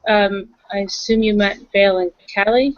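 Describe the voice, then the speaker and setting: A woman's voice: mid-range voice, steady tone, moderate pace, dull audio. A woman playing a tabletop roleplaying game, talking casually as she moves between character and narration.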